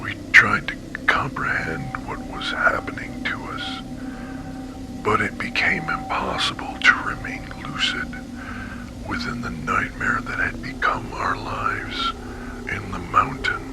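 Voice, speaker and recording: A man's voice: fairly high pitch, huge pitch swings, heavily acted, rough recording. Man acting as a whispering, haunted horror survivor. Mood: haunted, grim. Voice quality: breathy, whispery. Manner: slow, halting, haunted. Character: traumatized male survivor of a monstrous captivity, haunted and weak. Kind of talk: analog horror found-footage testimony.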